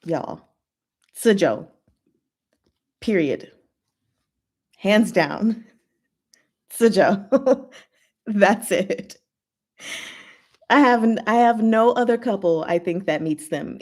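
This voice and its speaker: A woman's voice: medium pitch, slow pace, long pauses. A woman hosting a casual chat and Q&A, reading and answering comments in a giggly way with emphatic short verdicts. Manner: giggly, animated asides with emphatic one-word verdicts. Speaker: host of a chat and Q&A stream.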